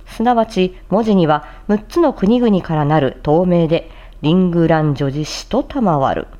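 A woman's voice: fairly low pitch, unhurried, mellow, lo-fi sound. A woman voice performer presenting a practice show, explaining and demonstrating recitation with attention to articulation. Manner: articulation-focused, demonstrating and explaining tricky phrases. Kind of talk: casual explanatory talk with formal script recitation.

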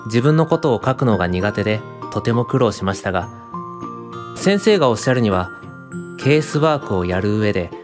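A man's voice: on the low side, big pitch swings, fairly quick. Deferential young man, a student in a radio drama: polite, hesitant, anxious to please, music behind. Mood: sincere, reflective. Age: young adult. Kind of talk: radio drama acting and reminiscing narration.

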